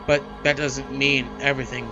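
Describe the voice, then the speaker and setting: A man's voice: mid-range voice, flat delivery, moderate pace, muffled recording. Man narrating a game over music. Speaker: let's play host. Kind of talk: reading a visual novel aloud with casual commentary.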